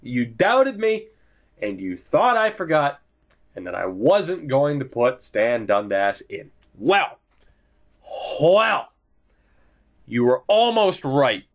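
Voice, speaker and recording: A man's voice: mid-range voice, big pitch swings, slow, long pauses. A young man giving casual, rambling commentary over a hockey video game, swearing often and joking at his own expense. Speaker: gaming YouTuber.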